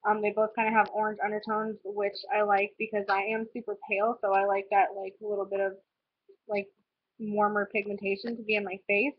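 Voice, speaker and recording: A woman's voice: mid-range voice, flat delivery, fairly quick, slight background noise. Stuffy-nosed young woman chatting about makeup. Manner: chatty, rambling with many "um" and "like". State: stuffy, nasal from a cold. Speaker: beauty vlogger. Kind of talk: casual makeup tutorial chat. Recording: slightly dull lo-fi home recording.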